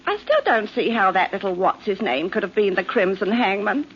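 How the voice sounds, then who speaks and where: An actress playing a teasing, nagging London housewife with chatty, colloquial talk, in 1940s radio drama style. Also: female voice, medium pitch, expressive, normal speed, natural voice. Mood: idle, teasing. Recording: dull, noisy 1940s radio recording.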